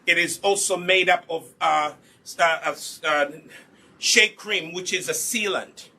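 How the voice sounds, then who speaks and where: Bright, husky man teaching hair care. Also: sits high, measured pace. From Uganda. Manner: patient and instructive, asks rhetorical questions then answers them. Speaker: hair care instructor and product maker. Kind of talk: live online hair care demonstration.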